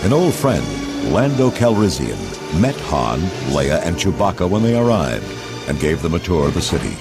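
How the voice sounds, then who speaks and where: A man's voice: low-pitched, very animated, moderate pace. A man reading scripted, dramatic narration for a children's readalong storybook. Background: orchestral music.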